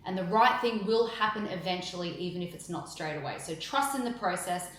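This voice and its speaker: A woman's voice: lowish voice, lively intonation, fairly quick, a bit bright. A woman speaking outspokenly and with emphasis, answering interview questions and giving a direct update. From Australia. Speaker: campaigner being interviewed.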